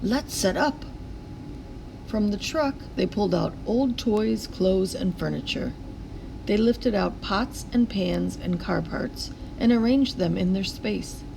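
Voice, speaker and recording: A woman reading a children's picture book aloud in a deliberate, expressive storytelling manner. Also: mid-range voice, gravelly, background noise. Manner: deliberate storytelling with acted character voices.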